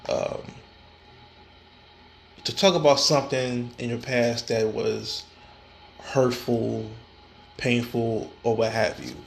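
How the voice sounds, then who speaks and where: Gravelly-voiced man hosting a podcast. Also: mid-range voice, fairly even intonation, slow pace, long pauses, soft background music. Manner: frequent fillers and restarts, stammering repetitions. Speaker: podcast host. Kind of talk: casual solo podcast talk.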